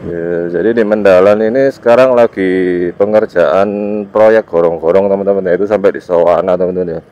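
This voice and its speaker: A man's voice: low-pitched, brisk, dark tone. A man with an East Javanese accent, congested with a cold, giving casual riding commentary in short, loose, informal phrases. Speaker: motovlogger. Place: outdoors, riding a motorbike on village roads. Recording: dull muffled sound, motorbike ride noise. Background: vehicle and road noise.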